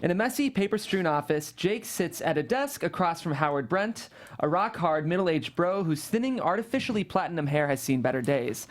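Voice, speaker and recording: A man's voice: a little high, animated, normal speed. A man hosting a script table read, introducing it and reading out stage directions. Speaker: host and writer of the script.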